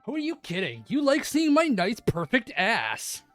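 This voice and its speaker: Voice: medium pitch, huge pitch swings, normal speed, a bit bright, no echo, faint music behind. A streamer reading a cocky, crude teenage boy judo star in a visual novel, brash and smug. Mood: smug, teasing.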